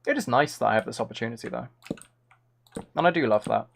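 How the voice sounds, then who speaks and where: A man's voice: medium pitch, expressive, fast, creaky, slight background noise. A young man chatting casually while he streams a game, rambling and self-deprecating into a close mic. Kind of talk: casual live-stream chat while gaming.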